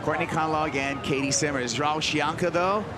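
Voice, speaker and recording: A man doing play-by-play commentary on a live surf contest, announcing with excitement and emphasis. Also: on the high side, moderate pace. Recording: loud crowd and outdoor noise.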